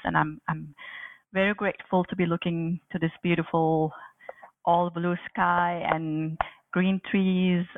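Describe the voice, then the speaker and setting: Gravelly-voiced woman on a tinny line. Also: on the low side, no echo. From Turkey. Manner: hesitant, many ums and restarts. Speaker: author and professor, interview guest. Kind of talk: conversational interview answers.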